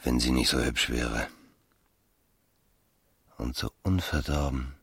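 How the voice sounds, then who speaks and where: A sensual, cynical male lover in literary radio drama. The voice is extremely deep and husky, with slow, languid pacing. He sounds worldly and dryly mocking. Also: fairly even intonation, a bit bright, full character voice. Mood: cynical musing.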